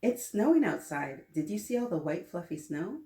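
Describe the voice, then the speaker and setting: A woman voicing an eager, determined little girl with a high, pleading voice during a read-aloud. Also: sing-song, normal speed, warm tone. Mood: excited. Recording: echoey room.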